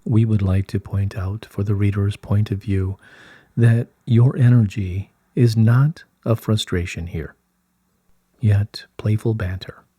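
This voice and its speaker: A man reading the part of a serene, wise collective of light beings in formal, measured, solemn phrasing from a scripted dialogue. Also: lowish voice, steady tone, dark tone, slightly rough, no echo, clean studio sound. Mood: patient guidance. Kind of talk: scripted channelled dialogue read aloud.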